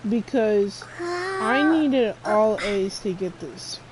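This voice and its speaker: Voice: medium pitch, big pitch swings, drawn-out words. A female streamer chatting casually over gameplay in a slangy, grumbling way. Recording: clear background noise.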